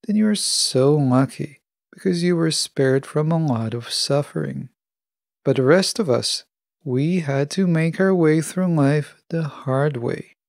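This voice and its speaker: A man hosting a podcast, delivering a scripted motivational monologue with measured, deliberate phrasing. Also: on the high side, vocal fry, darkish tone, dry recording, clean studio sound. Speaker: podcast host.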